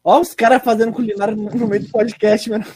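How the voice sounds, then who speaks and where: High-pitched, fast Brazilian man. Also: level tone, a little mellow, husky. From Paraná, Brazil. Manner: slangy, sweary, mocking. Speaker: podcast host reading questions. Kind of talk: casual podcast banter.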